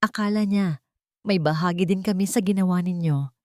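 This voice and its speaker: Audio-story husband, done by a female narrator: Filipino, wounded, intense, hushed, dry close sound. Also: fairly low pitch, normal speed, no echo, lightly acted. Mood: hurt, tense. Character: adult son, hurt husband piecing together betrayal.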